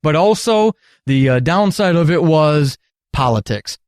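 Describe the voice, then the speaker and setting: A man's voice: on the high side, lively intonation, a little slow, a little mellow, clean tone, no room sound, studio quality. A middle-aged man telling candid, earnest stories at length as an interview guest, with emphatic phrasing. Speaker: podcast guest, retired military counselor.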